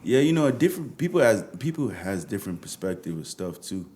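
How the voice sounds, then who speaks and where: A man's voice: fairly low pitch, very animated, fairly quick, a crowd in the background. A young Nigerian man with a Nigerian accent, talking casually as a musician guest in an interview.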